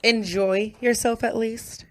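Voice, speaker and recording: A woman's voice: on the high side, fairly even intonation, slow, no echo. A woman co-hosting a podcast in a casual conversational style. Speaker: podcast co-host. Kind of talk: casual chat.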